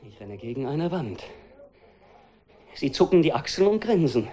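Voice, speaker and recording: A man's voice: fairly high pitch, very animated, moderate pace, dark tone. A man acting an earnest, intense undercover timber dealer in a German radio drama, with precise, articulate delivery. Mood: brooding, frustrated.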